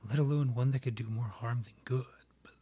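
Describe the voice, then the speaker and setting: A man acts out a proud vampire king in a fantasy audio roleplay. The voice is breathy and husky at a middling pitch. The phrasing is formal and measured, with a courtly, theatrical polish. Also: steady tone, mellow, close to an everyday voice. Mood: indignant, restrained. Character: proud, courtly male vampire monarch, rival and ex. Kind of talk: scripted roleplay audio, ASMR.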